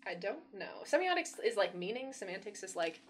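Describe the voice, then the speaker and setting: A young woman co-hosting a casual podcast chat, rambling with lots of fillers and quick laughs. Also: medium pitch, very animated, a little hiss or hum. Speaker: podcast co-host.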